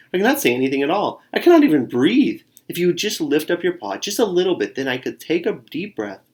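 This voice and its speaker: A storyteller voicing a bold, bragging little male squirrel with a high, excited voice. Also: natural voice.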